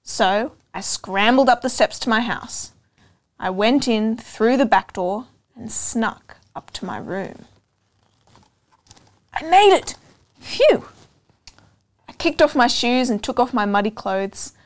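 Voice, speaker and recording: A young woman reads a picture book aloud for children. Her voice is fairly high, and she goes slowly and expressively, with deliberate phrasing and questions to the listener. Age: young adult. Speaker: storytime reader. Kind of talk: children's storybook read-aloud. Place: indoor room with couch and bookshelves.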